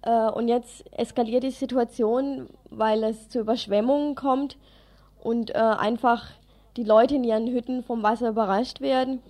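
Female community radio news presenter, slightly high voice, part scripted and part off the cuff. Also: fairly even intonation, moderate pace, a little mellow.

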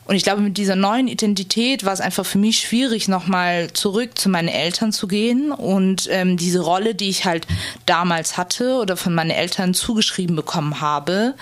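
A woman speaks as an interviewee in a slightly low voice. She reflects on her own life hesitantly, often searching for the right words. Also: fairly quick. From Germany. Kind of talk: reflective personal account in an interview.

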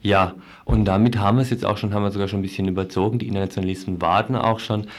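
A man hosting a radio interview, phrasing his questions and remarks in a halting, thoughtful way. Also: on the low side, steady tone. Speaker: radio show host. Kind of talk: live radio studio interview.

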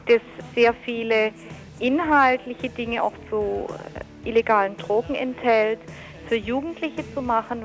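A woman's voice: fairly high pitch, poor audio. A woman, a youth protection staffer, explaining things as a guest in a telephone interview.

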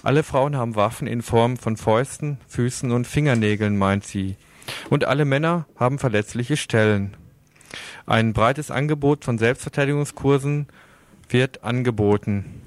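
Guy reading a radio opinion piece, medium pitch, very dry close sound, serious and formal. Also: moderate pace, no echo. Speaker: radio commentator. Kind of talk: scripted opinion commentary, read out.

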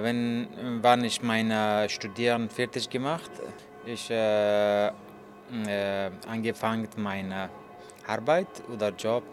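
A man, telling his story as a journalist in halting speech full of fillers and restarts. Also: on the low side. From Afghanistan. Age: 30s. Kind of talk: hesitant interview answers in learner German.

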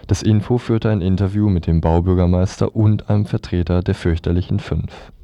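A man's voice: deep voice, steady tone, fairly quick, gravelly, noisy background. A man presenting scripted news on the radio.

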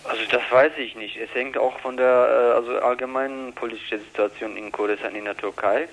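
A man answering as an interview guest, halting and searching for words with many hesitations. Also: medium pitch, steady tone, a bit bright, rough recording. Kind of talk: unscripted political interview.

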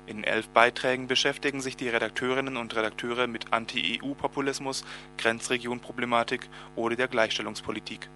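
A man's voice: medium pitch, fairly even intonation, brisk, few pauses, bright, forceful tone, a little hiss or hum. A man presenting a radio news magazine, reading scripted introductions over music. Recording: music bed under the voice.

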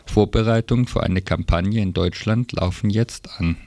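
A man's voice: fairly low pitch, normal speed, a little mellow. A man presenting radio news, reading a scripted report.

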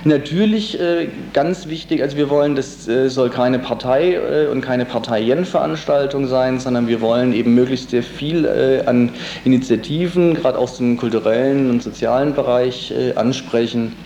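A man gives a spontaneous interview as an organizer, feeling his way through sentences with frequent hesitations. His voice is dark and relaxed, at an average pitch. The room echoes around him and there is faint noise behind. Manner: halting, searching for words, many hesitations.

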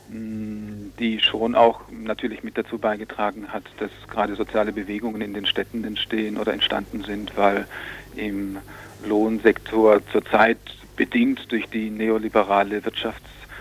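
A man's voice: on the low side, a little hiss or hum, low-quality recording. A man explaining things in a radio interview, in long, winding sentences with some hesitation. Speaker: interviewed commentator on Colombian politics.

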